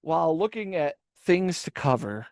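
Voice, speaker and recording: A man's voice: a little high, big pitch swings, moderate pace, loud music behind the voice. A man hosting a casual reaction podcast, rambling with stammered restarts and swearing. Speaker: podcast host.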